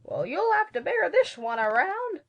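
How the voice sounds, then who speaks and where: A woman voicing a proud, indignant hen with a shrill, huffy delivery in a storybook. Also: very animated, moderate pace, gravelly, lo-fi sound, full character voice. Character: proud, sassy, indignant female yellow hen.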